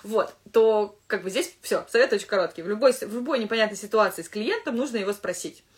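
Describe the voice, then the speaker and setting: A woman English teacher giving casual advice to fellow teachers, thinking aloud with lively asides. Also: medium pitch, quick pace.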